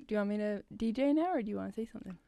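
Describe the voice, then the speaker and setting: Quick, soft-toned young woman on stage. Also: mid-range voice, lively intonation, gravelly, no room sound. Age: young adult. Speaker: musician and co-host. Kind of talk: casual stage banter. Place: small curtained performance stage indoors. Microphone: stage microphone on a stand.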